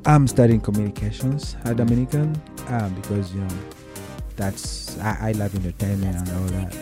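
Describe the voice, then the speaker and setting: A man's voice: lowish voice, steady tone, moderate pace. Young male student guest from Kenya, playful and slangy, casual interview chat over faint music. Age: young adult. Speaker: student guest on a radio show.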